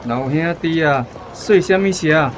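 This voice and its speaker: A man plays an ingratiating shopkeeper in a radio drama. His voice is somewhat high. He is chummy and fawning, amid faint outdoor traffic sound. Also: a little slow, lightly acted, low-quality recording. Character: fawning male grocer, chummy with big customers, snobbish. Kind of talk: Taiwanese-language radio drama acting.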